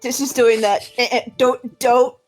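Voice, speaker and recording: A woman acts out a flustered adventurer in a tabletop fantasy game. Her voice is fairly high, defensive and stammering as she placates. Music plays behind her. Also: steady tone, unhurried, close to an everyday voice. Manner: stammering, repeats words.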